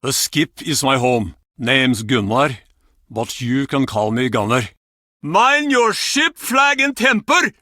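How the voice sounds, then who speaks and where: A crusty old seafarer from a video game. His forceful voice leaps up and down as he grumbles curtly or spins grand, theatrical yarns, all captured close and dry. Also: mid-range voice, sing-song, slow, dry recording, heavily acted. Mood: gruff. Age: older. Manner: blustering, curt, theatrical storytelling. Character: gruff, cantankerous old fisherman given to tall tales. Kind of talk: video game character acting.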